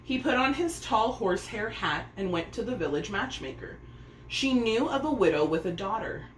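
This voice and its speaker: A woman's voice: mid-range voice, lively intonation, normal speed. Woman reading a storybook, echoey room. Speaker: storybook reader. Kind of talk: expressive children's story narration. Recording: echoey room, faint background noise.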